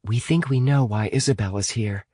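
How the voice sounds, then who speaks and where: Audiobook role, male reader: earnest young girl leader, decisive, raised pitch, close dry sound. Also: normal speed, warm tone, breathy edge, no echo, close to an everyday voice. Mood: explaining. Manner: urgent, reasoning, decisive. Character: decisive, earnest teenage girl leading friends in an adventure novel.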